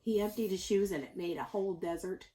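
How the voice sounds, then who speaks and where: A woman's voice: medium pitch, fairly quick, a little hiss or hum. Woman reading a picture book aloud. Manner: animated, addresses young listeners with asides. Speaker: teacher reading aloud to children.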